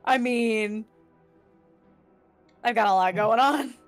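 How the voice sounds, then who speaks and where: A woman's voice: on the high side, takes it slowly, soft background music. A woman in a casual chat among tabletop gamers, thinking aloud in an informal, conversational way. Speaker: tabletop role-playing game player.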